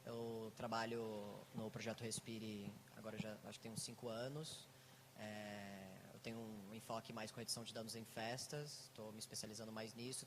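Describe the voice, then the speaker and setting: A Brazilian man in his twenties introducing himself as a psychologist in a group, relaxed with hesitant fillers. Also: medium pitch, big-room sound, noisy background. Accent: Brazilian Portuguese. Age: 20s. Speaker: psychologist, harm reduction worker.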